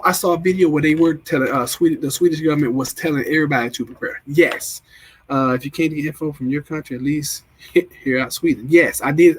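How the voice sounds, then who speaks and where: Husky-voiced man chatting on a livestream. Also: a little high. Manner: rambling, repeats himself, restarts often. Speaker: livestream host. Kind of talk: casual livestream chat, reading viewer comments.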